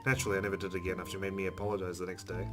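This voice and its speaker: Man, lowish voice, let's play streamer, narrating a visual novel with casual asides, clear music behind. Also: little pitch movement, rapid-fire.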